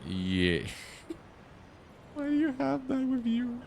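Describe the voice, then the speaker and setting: A man's voice: very high-pitched, huge pitch swings, very slow, gravelly, a little mellow, a little hiss or hum. A man streaming a game, reacting casually with jokes and slang over the game's music. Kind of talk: casual live game commentary.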